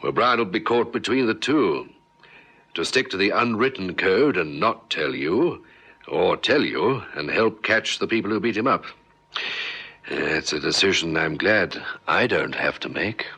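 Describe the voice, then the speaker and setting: A man's voice: low voice, lively intonation, unhurried, sharp tone, slightly rough, heavily acted. An actor playing a worldly, reflective senior man in a radio drama, musing in a matter-of-fact way. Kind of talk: 1970s radio drama acting.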